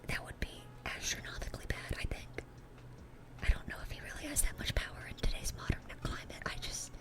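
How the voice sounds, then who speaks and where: A woman voicing a sweet, dorky demon girl in a whispered ASMR roleplay, rambling and stammering in an eager, awkward way. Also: natural voice.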